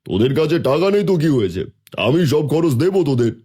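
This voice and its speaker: A man's voice: a little high, sing-song, fast, warm tone, husky. A man playing a kindly, authoritative village headman in a cartoon fairy tale. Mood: encouraging.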